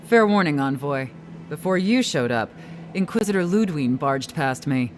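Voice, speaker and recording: A man's voice: on the high side, animated, moderate pace, a little hiss or hum, natural voice. A man playing a chatty, knowing town informant in a video game, breezy and wry. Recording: background game music.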